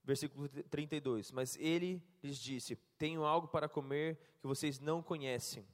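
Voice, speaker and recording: A man interpreting a sermon live and reading scripture aloud, halting and correcting himself as he goes. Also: a little high. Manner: halting, self-correcting, laughs at slips. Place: church hall. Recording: long church reverb.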